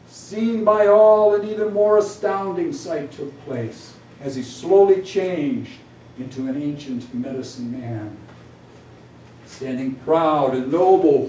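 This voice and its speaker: A man reciting epic poetry as a poet, solemn and declamatory, pausing between short phrases, in a room from a distance. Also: sits high, mellow. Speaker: poet reading his own epic poem. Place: indoor reading venue, recorded from a distance. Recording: echoey room, distant mic, background noise.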